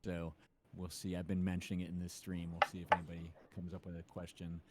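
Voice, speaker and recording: A man's voice: deep voice, monotone, fast. A man hosting a podcast stream in casual conversation. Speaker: podcast host. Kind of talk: casual chat. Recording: faint background noise.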